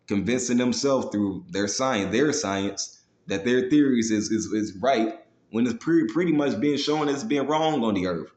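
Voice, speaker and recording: A man's voice: mid-range voice, unhurried, darkish tone. Confrontational male preacher in an echoey room. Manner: confrontational, profane; slangy, with frequent "man" and "you know" tags. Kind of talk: fiery street-style sermon with scripture read aloud.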